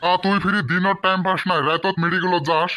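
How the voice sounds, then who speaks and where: Man voicing a suspicious high-voiced cartoon policeman. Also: flat delivery, fast, bright, forceful tone, a little hiss or hum. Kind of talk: comic cartoon dialogue.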